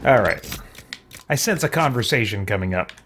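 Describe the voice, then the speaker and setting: A man giving casual gameplay commentary, musing aloud in a halting way. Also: medium pitch, animated. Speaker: gameplay host. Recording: noisy game audio behind voice.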